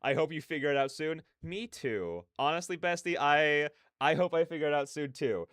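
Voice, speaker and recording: A man hosting a casual reaction livestream, rambling in chatty, slangy speech with an animated, self-deprecating manner. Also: a little high, normal speed, smooth, dry recording, clean studio sound. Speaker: livestream host.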